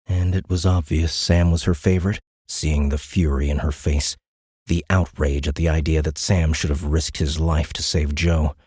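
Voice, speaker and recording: A man's voice: rumbling low, little pitch movement, moderate pace, darkish tone, slightly rough, dry recording, studio quality. A man narrating a sci-fi audiobook in a dramatic, scripted storytelling style.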